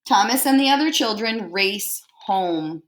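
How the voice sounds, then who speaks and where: A woman teacher reading a picture book aloud to children, expressively and deliberately, pausing to put simple questions to them. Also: medium pitch, lively intonation. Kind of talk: storybook read-aloud with questions to young listeners.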